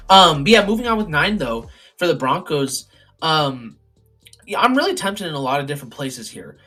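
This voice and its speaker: A hoarse young man hosting a casual sports chat, rambling with lots of fillers and restarts. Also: on the high side, very animated, normal speed, bright, forceful tone, soft background music. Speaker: podcast host doing a mock draft.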